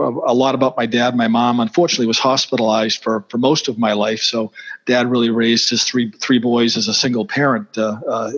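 A man's voice: medium pitch, husky, highs cut off. A middle-aged man from Boston with a Boston accent, a guest in an interview, talking casually with many fillers and restarts. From Boston, USA.

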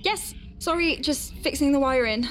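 A woman playing a flustered but awestruck space medic in an audio drama, chatty, nervy and expressive as she talks through her work. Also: sits high, normal speed. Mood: nervous. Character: young female space medic Faye, flustered but awestruck, working inside star whale. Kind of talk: audio drama acting with first-person narration.

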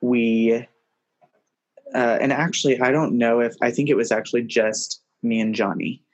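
A man's voice: mid-range voice, steady tone, normal speed, lo-fi sound. A young man in his twenties telling stories in an upbeat, chatty way. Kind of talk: casual chat.

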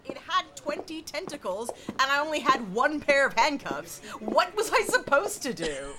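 A woman's voice: sits high, big pitch swings, moderate pace, noisy background. A woman playing a breezy, friendly space-police detective sergeant in an audio drama, casual and wry. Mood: breezy, amused.

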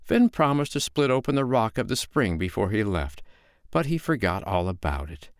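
A man voicing a calm, sly foster mother in a storybook, with a lilting, mock-innocent, needling way of talking. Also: fairly low pitch, normal speed, smooth, no room sound, studio quality. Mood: sighing, needling.

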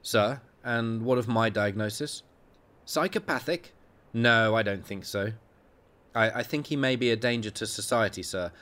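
A man's voice: on the low side, normal speed, a bit bright, natural voice. A man voicing a deferential junior male doctor who speaks hesitantly, with a small stammer. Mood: worried.

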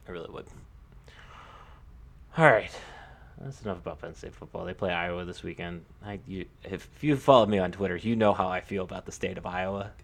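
A man with a fairly low voice chats as a sports podcast co-host. He talks informally and in a halting way, circling back to restart phrases as he goes. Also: creaky, a bit bright. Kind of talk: casual sports podcast chat.

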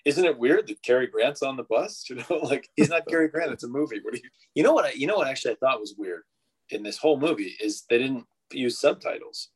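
A man's voice: medium pitch, lively intonation, fast talker, breathy edge, low-quality recording. A man in casual conversation about a classic film, thinking aloud and often restarting his sentences. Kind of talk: casual movie discussion.

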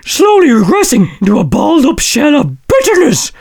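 A man's voice: extremely high pitch, huge pitch swings, moderate pace, heavily acted. A man voicing a smug, boastful old friend reading out his patronising comic letter. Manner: patronising, self-satisfied.

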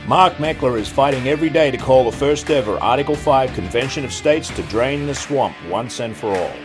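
A man reading a scripted promotional spot in a brisk, upbeat pitch. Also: over music. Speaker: ad announcer.

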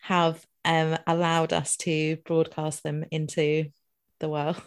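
A woman's voice: low-pitched, flat delivery, slow pace, clean tone. A Scottish woman co-hosting a casual podcast, teasing and laughing often. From Scotland. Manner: giggly, teasing, sweary. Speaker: podcast co-host. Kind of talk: casual chat.

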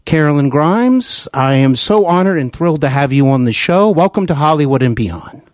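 A man hosting a podcast, reading a scripted introduction with earnest, emphatic, measured phrasing. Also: on the high side, lively intonation, darkish tone, dry recording, studio quality. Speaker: podcast host.

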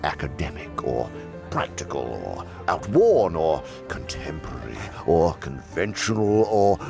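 An actor playing a cunning senior demon bureaucrat, a pompous, condescending mentor lecturing in an audio drama. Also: male voice, very deep voice, huge pitch swings, measured pace, creaky, over music, full character voice. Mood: smug, scheming.

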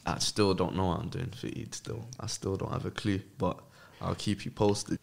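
Gravelly-voiced London man, casual and slangy. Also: deep voice, fairly even intonation, moderate pace. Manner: slangy, informal, frequent tag phrases. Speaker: podcast guest, music artist. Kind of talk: casual chat.

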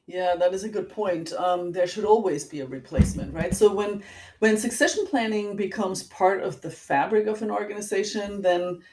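A middle-aged woman with a German accent explaining things as an interview guest, in a measured, precise way. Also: on the low side, darkish tone. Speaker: interview guest, executive coach. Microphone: online call. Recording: roomy echo, distant microphone.

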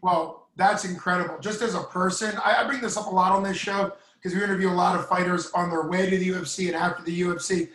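A man's voice: high voice, steady tone, fast talker, few pauses, a bit bright, echoey room, low-quality recording. A talkative man hosting an interview podcast and asking long, friendly questions. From United States. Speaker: podcast host. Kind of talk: casual interview.

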